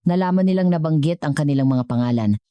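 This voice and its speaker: A woman storyteller with a low-pitched, mellow voice delivers scripted dramatic narration. The sound is dry and close, with no room around it. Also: fast talker, no room sound.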